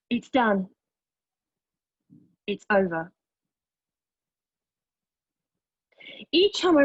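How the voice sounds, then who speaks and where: A woman acting a wounded, defiant character in a monologue, candid and bitterly sardonic, with halting phrasing. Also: fairly high pitch, no echo, dull audio. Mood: reflective, sardonic. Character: wounded, defiant woman confessing a painful past. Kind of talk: dramatic monologue at a table read.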